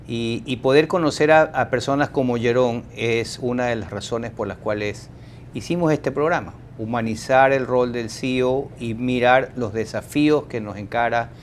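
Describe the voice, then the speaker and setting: A man's voice: medium pitch, a little hiss or hum. A man hosting an interview show, courteous and formal, asking questions with occasional filler words. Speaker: talk show host.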